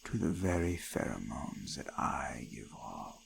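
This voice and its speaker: Ancient forest deity, extremely gravelly, deep, menacing, seductive, commanding, whispering, roleplay audio drama. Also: male voice, big pitch swings, slight background noise, heavily acted. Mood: seductive, coaxing. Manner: slow, hushed, archaic, commanding, often dropping to whispers. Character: ancient male eldritch forest god, menacing yet seductive. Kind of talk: scripted roleplay audio, slow dramatic monologue.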